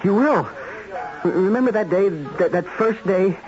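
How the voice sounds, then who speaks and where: An actor playing a guilt-ridden, desperate hitman who drinks, speaking in halting, repeated words in a radio drama. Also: high-pitched, expressive, a little mellow. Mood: anguished, desperate. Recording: dull, noisy old radio broadcast recording.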